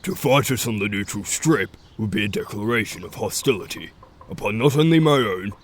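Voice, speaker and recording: A man's voice: lowish voice, lively intonation, a little fast, a little hiss or hum, lightly acted. A man playing an authoritative animal pack leader in an audio drama, speaking formally and with command. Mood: stern.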